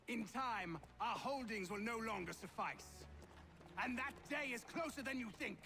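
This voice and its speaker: An actor playing an arrogant, ruthless British army general in a video game, pompous and commanding in his declamatory speech. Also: sits high. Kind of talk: dramatic video game acting. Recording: loud music behind the voice.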